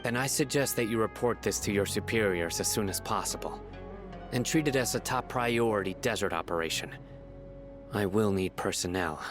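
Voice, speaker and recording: An actor playing a stern, principled young general in a video game, speaking in a grave, measured, authoritative way. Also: male voice, lowish voice, music in the background, close to an everyday voice. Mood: commanding. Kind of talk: video game character acting.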